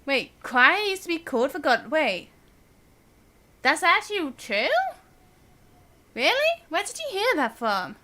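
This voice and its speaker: A woman's voice: high voice, big pitch swings, measured pace, pauses often, sharp tone, slight background noise. A woman giving casual live gameplay commentary as a streamer, musing aloud in a chatty, giggly manner.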